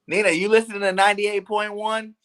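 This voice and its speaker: A man's voice: high-pitched, flat delivery, a little fast, fairly bright tone. A man, co-hosting a fan call-in show, teasing his partner in easygoing chat and chuckling a lot. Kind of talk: casual chat.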